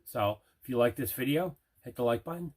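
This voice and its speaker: A man's voice: medium pitch, lively intonation, brisk. A man hosting a collector's video channel, chatting casually through an unboxing with emphatic, repeated phrases. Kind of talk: casual unboxing vlog chat.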